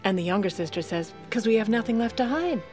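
An actress playing a sardonic, self-assured First Lady in her forties, narrating an audio drama with deadpan, haughty wit. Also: on the high side, fast talker, faint music behind, close to an everyday voice. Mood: wry, confiding. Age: 40s.

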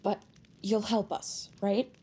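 A woman playing a blunt, sarcastic, impatient young sidekick with a husky voice in an audio drama. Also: mid-range voice, fairly even intonation, takes it slowly, mellow. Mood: sarcastic, incredulous. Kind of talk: scripted audio drama acting.

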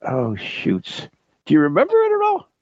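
A man's voice: fairly high pitch, wildly swooping pitch, normal speed, a little mellow, no room sound. An American man hosting a podcast with casual, playful, teasing chat. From New England, US. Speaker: podcast host. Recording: dull lo-fi audio.

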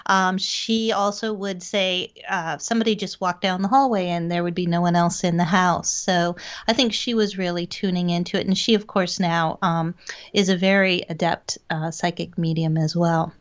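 A woman's voice: lowish voice, steady tone, normal speed, smooth. A middle-aged woman, recounting experiences conversationally as an interview guest. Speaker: interview guest, paranormal investigator. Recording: dull, band-limited lo-fi audio.

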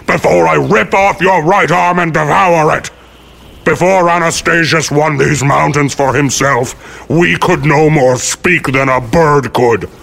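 Man acting a huge man-eating Yeti leader, rumbling, gruff, commanding, creaky growl, storybook fantasy. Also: a bit bright, exaggerated voice. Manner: rumbling, stern, menacing, formal.

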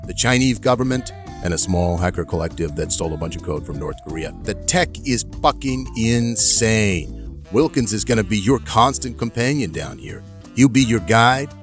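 A man's voice: mid-range voice, close to an everyday voice. A man playing a brash, foul-mouthed tech company boss giving an orientation video in an audio drama, with breezy, slangy bro talk.

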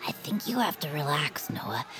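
Offbeat kid sidekick, very animated, gravelly creak, breezy and upbeat, children's comedy drama. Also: female voice, sits low, normal speed, faint music behind, full character voice. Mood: reassuring. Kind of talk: comic audio drama for children.

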